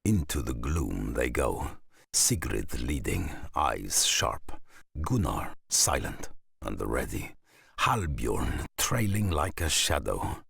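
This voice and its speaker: An actor playing a grave saga storyteller in a game, narrating slowly and ominously in a gravelly, husky voice. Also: male voice, rumbling low, very animated, a bit bright, no room sound, clean studio sound, heavily acted. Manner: slow, ominous, deliberate. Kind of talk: dramatic scripted storytelling narration.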